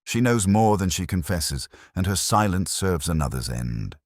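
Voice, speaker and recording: A man voicing a brilliant, intense detective in an audiobook, commanding and cryptic, with deliberate, rhetorical delivery. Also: low-pitched, very animated, dry recording, clean studio sound. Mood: probing, grave.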